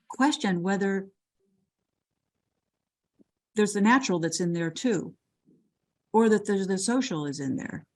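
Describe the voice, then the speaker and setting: A woman's voice: medium pitch, darkish tone. An older woman lecturer answering a question on a video call, thoughtful and full of restarts and repeated words. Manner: thoughtful, with frequent restarts and repetitions.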